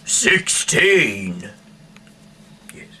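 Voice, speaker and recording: Very low-voiced, halting man, noisy recording. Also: very animated, sharp tone. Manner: halting, bumbling, irreverent. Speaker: amateur reader of scripture, self-styled rock singer. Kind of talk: rambling, informal reading aloud with asides. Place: at home. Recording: noisy amateur home recording.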